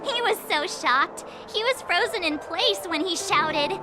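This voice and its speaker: Very high-pitched, chatty young girl. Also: unhurried. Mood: concerned, frank. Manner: casual, chatty, animated. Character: bright, friendly, curious young girl adventurer. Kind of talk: English video game voice acting. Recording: game audio with loud music underneath. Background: loud background music.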